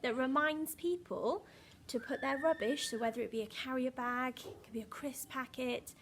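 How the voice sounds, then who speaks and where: A woman's voice: sits high, moderate pace, a little hiss or hum. A woman primary school teacher reading a picture book expressively to young children, with dramatic emphasis and questions to the listeners.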